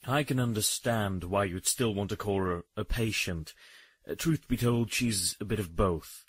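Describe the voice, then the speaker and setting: A man playing a calm, commanding surgeon in a horror audio drama. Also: on the low side, measured pace, slightly rough, dry recording. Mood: firm, instructing. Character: calm, commanding male surgeon in a secret lab.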